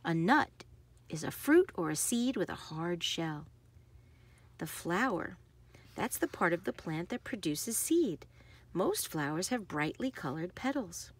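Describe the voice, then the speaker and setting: Animated, slow-paced woman reading a picture book. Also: lowish voice, husky. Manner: animated, emphasizing action words. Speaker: read-aloud host. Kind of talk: expressive read-aloud of a children's picture book.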